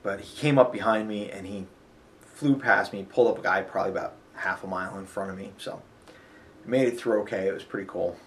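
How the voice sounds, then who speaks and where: A man's voice: fairly low pitch, a little fast, slight background noise. A man with a sniffly nose, chatting casually and rambling about a road trip, full of fillers. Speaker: vlogger. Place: hotel.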